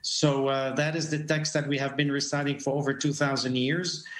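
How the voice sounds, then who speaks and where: A middle-aged man from Lebanon, a rabbi giving a remote news interview, speaking haltingly with many fillers and repeated words. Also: mid-range voice, flat delivery, a bit bright. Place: home room with bookshelves. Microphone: video call. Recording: echoey room on a video call.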